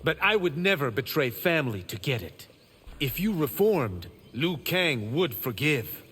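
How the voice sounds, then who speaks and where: A man's voice: fairly high pitch, sing-song, unhurried, fairly bright tone, husky, big-room sound, heavily acted. An actor playing a cocky, witty young male monk fighter in a video game, wry and joking in manner. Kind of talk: video game character voice acting.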